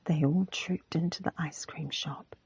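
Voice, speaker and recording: Slow whispering woman reading a story. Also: low voice, fairly even intonation, a little mellow, highs cut off. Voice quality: whispered, breathy. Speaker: ASMR reader.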